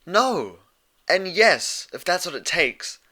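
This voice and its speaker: Storybook role: caring but exasperated unicorn mare, insistent, higher-pitched and sharply bright male reader performing. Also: big pitch swings, slow, full character voice. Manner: insistent, pleading, lecturing. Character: earnest, exasperated young female unicorn pony, urgent and caring.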